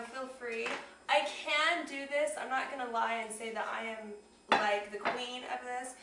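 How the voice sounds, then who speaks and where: A woman hosting a casual home cooking demonstration, a little hoarse, talking chattily as she works. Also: high-pitched, sharp tone, a little hiss or hum. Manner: chatty, friendly instructional tone. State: slightly hoarse. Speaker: cooking show host. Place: home kitchen. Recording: echoey kitchen, mic at a distance.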